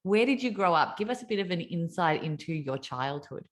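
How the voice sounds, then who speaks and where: A woman's voice: on the low side, lively intonation, brisk. A woman hosting a podcast interview, effusive and enthusiastic as she introduces and questions her guest. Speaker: podcast host and producer.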